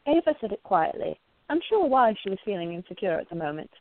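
Woman reading narration for a radio drama, scripted, middle pitch, really dry recording. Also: sing-song, quick pace, dry recording, narrow, tinny audio.

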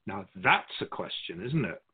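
A man playing a wise, wry otherworldly keeper of souls in a scripted dialogue, measured and teasing, fond of rhetorical questions. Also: fairly low pitch, big pitch swings, slightly rough, narrow, tinny audio, heavily acted. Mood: musing.

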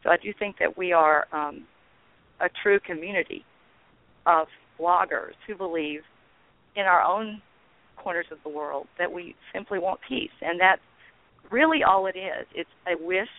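A woman guest telling her story in a phone-in interview, earnest and halting, with frequent ums. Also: fairly low pitch. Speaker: guest, founder of a peace blogging network. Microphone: telephone. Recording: tinny phone line, dry.

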